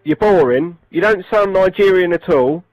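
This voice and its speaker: High-voiced blunt man, lo-fi recording. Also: moderate pace, a little mellow, soft background music. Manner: blunt, abusive.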